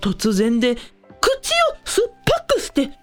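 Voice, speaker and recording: A high, very animated voice for a flippant rich male cult leader , acted by a woman. The speech is theatrical and excessively polite, full of playful drawn-out exclamations, as in a comic radio drama. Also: soft background music, heavily acted. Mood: flustered, apologetic.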